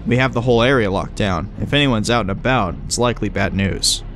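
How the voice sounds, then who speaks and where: A man's voice: on the low side, brisk, a bit bright, a little hiss or hum. A man playing a wry, teasing creature that passes as a human mentor in a horror audio drama. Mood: brisk, evasive. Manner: wry, breezy, teasing, coaching. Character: flippant, chummy man who is secretly a swarm of bugs.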